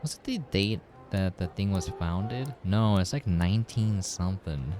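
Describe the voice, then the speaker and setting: A man giving casual gameplay commentary, halting and muttering, in a mildly critical way. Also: low-pitched, warm tone, faint music behind. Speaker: game reviewer playing on a recording.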